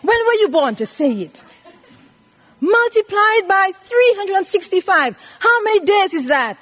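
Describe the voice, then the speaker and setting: A woman's voice: very high-pitched, sing-song, measured pace, fairly bright tone. A woman in her eighties preaching a scripture lesson, emphatically repeating phrases and putting questions to her listeners. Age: 80s. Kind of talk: sermon teaching from scripture.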